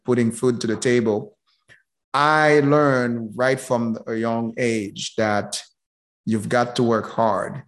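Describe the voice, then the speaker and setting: A middle-aged man from Haiti, a guest speaking casually in an interview, halting and repeating words as he goes. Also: lowish voice. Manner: slow, halting, many repeated words and fillers. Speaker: guest, business professor.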